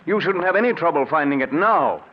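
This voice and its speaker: Casting: male actor for suspicious, guilt-ridden bully in a vintage radio mystery, sardonic and pressing, wide-swinging pitch, muffled old recording. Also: lively intonation, fairly quick, close to an everyday voice. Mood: impatient, commanding. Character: suspicious, domineering man haunted by a guilty conscience.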